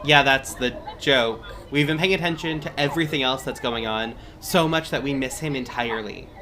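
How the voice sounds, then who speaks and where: A man's voice: medium pitch, moderate pace, bright, forceful tone, slight background noise. A man playing a matter-of-fact, somewhat defensive superhero in audio drama dialogue.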